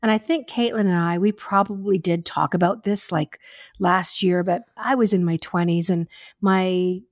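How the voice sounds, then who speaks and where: A woman's voice: fairly low pitch, normal speed, dry recording, studio quality. An older woman hosting a podcast, chatting casually and telling stories. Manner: chatty, storytelling. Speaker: podcast host. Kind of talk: casual chat.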